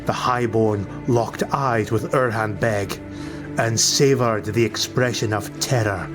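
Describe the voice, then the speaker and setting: A man's voice: lowish voice, slow, music in the background. A man narrating a fantasy audiobook in a dramatic, scripted storytelling style.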